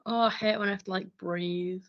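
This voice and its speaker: A woman's voice: medium pitch, steady tone, moderate pace, lo-fi sound. A woman co-hosting a casual podcast, making dry, teasing and sarcastic remarks. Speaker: podcast co-host. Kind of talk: casual chat about hockey.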